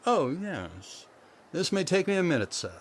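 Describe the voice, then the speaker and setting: A man's voice: a little high, sing-song, a little slow. A man playing a fussy, flustered, polite department store salesman in an old-time radio play, formal and hesitant. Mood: obliging. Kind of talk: old-time radio comedy-drama acting.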